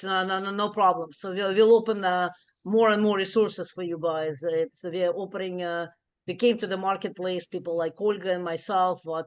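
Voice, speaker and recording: A woman hosting an online networking event over a video call, upbeat and halting, with many fillers. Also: medium pitch.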